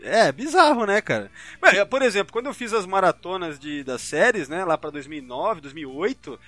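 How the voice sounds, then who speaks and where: A man's voice: high voice, sing-song, a little fast, fairly bright tone, soft background music. A Brazilian man chatting casually as a podcast co-host, reacting and swapping anecdotes in colloquial slang.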